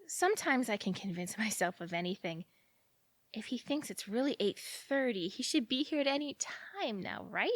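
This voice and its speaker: A woman's voice: a little high, expressive, normal speed, no room sound. Fretful, lovelorn young woman, acted, dry recording. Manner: rambling, fretful self-questioning. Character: anxious lovelorn young woman waiting for her lover. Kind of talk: audio theatre acting.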